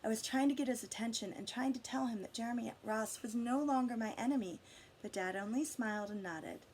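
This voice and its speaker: A woman reading a picture book aloud expressively to children, pausing to guide them with questions. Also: fairly high pitch, darkish tone, slight background noise, low-quality recording. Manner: pauses to question and guide young listeners. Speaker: read-aloud storyteller for children.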